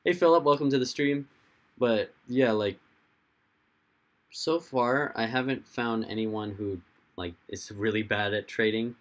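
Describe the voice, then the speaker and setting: A young man with a hoarse, fading voice, hosting a casual livestream, rambling and answering viewers' chat comments as they come in. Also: mid-range voice, unhurried. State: hoarse, losing his voice. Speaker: livestream host.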